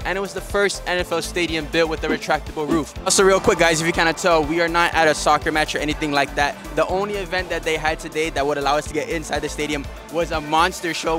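Man, soccer vlogger, friendly and eager, slightly high voice, music bed behind. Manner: upbeat, addresses viewers as guys. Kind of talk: travel vlog narration. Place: outdoors and inside a large stadium.

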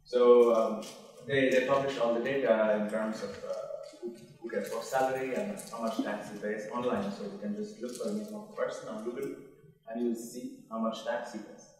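A man speaking up haltingly from the back tables of a reverberant hotel conference room, distant from the microphone. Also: mid-range voice, expressive, a little mellow. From Norway-based. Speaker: audience member asking a question. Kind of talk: conference question from the floor. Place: large hotel conference room, heard from the floor. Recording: echoey hall, far from the microphone.

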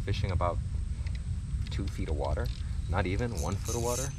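A man's voice: sits low, animated, a little fast. An American man in his thirties presenting a casual outdoor fishing how-to, recorded on an action camera by a river. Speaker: angler presenting a fishing video. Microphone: action camera a short distance away. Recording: noisy outdoor camera audio.

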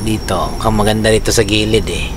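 A man's voice: lowish voice, fairly even intonation, fast talker. A middle-aged man, a truck driver, chatting casually to his action camera as he vlogs at a truck stop and in a shop. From Philippines. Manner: chatty, talks to camera while walking and driving. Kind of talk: casual vlog narration. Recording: loud road and vehicle noise.

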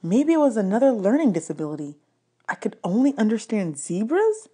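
A woman's voice: medium pitch, sing-song, brisk, dark tone, husky. A woman narrating a novel aloud as an audiobook reader. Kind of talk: read-aloud story narration.

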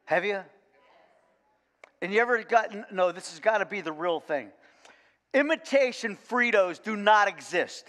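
An older man preaching a sermon in a folksy, animated way, full of repeated words and "okay?" asides. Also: high-pitched, moderate pace, long pauses. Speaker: pastor. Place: large church sanctuary, indoors. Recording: long reverb of a church hall.

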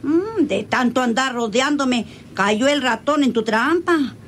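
Casting: dismissive, sharp-tongued hacienda woman, Mexican accent, higher register, old radionovela with background noise. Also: expressive, a little slow. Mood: indignant, dismayed. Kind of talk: radionovela acting.

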